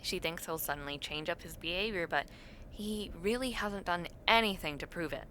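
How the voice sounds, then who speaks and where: A young adult woman playing a friendly, teasing university student in a casual audio roleplay, talking to the listener with expressive reactions and drawn-out words. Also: on the low side, slight background noise, close to an everyday voice. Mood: sympathetic, exasperated.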